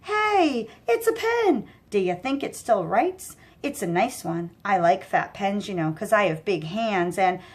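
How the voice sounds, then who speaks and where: A woman's voice: mid-range voice, lively intonation, a little slow, hardly any pauses, husky. Woman doing a whiny, dim-witted big goon. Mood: excited, childish. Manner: whining, rambling, defensive. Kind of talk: children's audiobook character voice.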